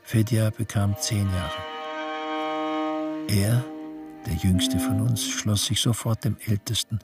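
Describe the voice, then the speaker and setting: An actor playing a grave camp prisoner bearing witness in a literary radio play narration. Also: male voice, fairly low pitch, fairly even intonation, slow pace. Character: male labour-camp prisoner, grave witness telling the story. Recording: music behind the voice. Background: instrumental music.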